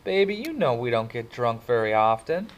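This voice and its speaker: A man's voice: mid-range voice, sing-song, moderate pace, lightly acted. A man voicing a sneering, irritated rich teenage boy.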